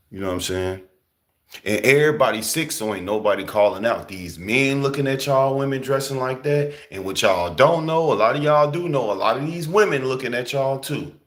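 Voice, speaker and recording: A young man talking in a casual, slangy preaching style, emphatic and often jumping high for emphasis. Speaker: host.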